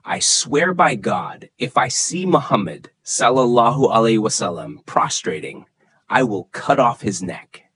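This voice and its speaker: A man's voice: mid-range voice, big pitch swings, unhurried, a bit bright, husky, dry recording, heavily acted. A man voicing an arrogant, menacing villain in a children's story, speaking emphatically.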